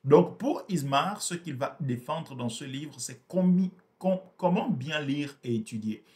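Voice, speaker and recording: A man's voice: fairly high pitch, expressive, a little slow, darkish tone. A man whose first language is Portuguese, explaining a book in a hesitant, repetitive way with many fillers. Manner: hesitant, repeats words, frequent fillers. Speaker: book reviewer. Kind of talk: explanatory book review talk.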